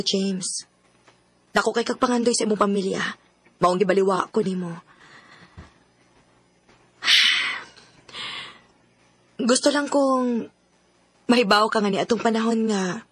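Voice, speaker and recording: An actress with a Filipino Cebuano accent playing a hurt but forgiving young former sweetheart in a Bisaya radio drama. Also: female voice, mid-range voice, fairly bright tone, breathy edge. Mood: resigned. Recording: radio drama with music underneath.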